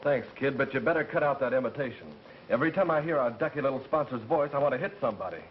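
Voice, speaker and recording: An actor playing a brash, sarcastic radio producer in a quick-fire 1930s film style. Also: male voice, mid-range voice, natural voice. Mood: irritated, brash. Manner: brash, wisecracking. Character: hot-headed, sarcastic male radio producer. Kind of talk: 1930s fast screwball film acting. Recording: muffled, hissy 1930s film soundtrack.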